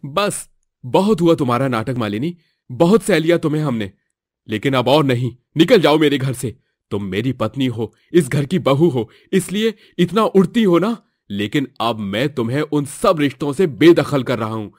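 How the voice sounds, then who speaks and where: A young husband, acted by a man for a moral story. He speaks earnestly and dramatically in a medium-pitched voice, recorded very dry. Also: animated, moderate pace, no echo, close to an everyday voice. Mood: furious.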